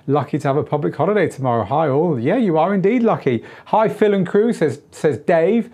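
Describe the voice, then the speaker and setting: A middle-aged man with a tired, slightly hoarse voice, hosting a live-streamed DJ Q&A from a small studio on a lapel mic, chatty, with lots of fillers and restarts. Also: fairly high pitch, animated, a little fast, a little mellow. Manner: moves around gesturing at equipment while talking. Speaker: DJ tutor and live Q&A host. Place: small home studio with shelves of gear.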